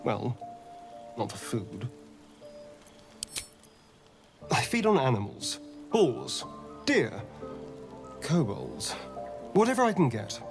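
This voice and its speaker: A man's voice: medium pitch, huge pitch swings, slow pace, gravelly, long pauses, soft background music, full character voice. An actor playing a suave, needy male vampire in a video game, with a theatrical, coaxing, hesitant delivery. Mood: pleading, wary. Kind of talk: video game character acting.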